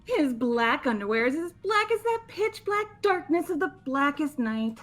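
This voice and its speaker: Gleefully creepy eccentric young woman, played by a woman, sharp and very animated, theatrical game voice. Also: sits high, unhurried, faint music behind, heavily acted. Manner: theatrical, teasing. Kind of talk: amateur game voice acting.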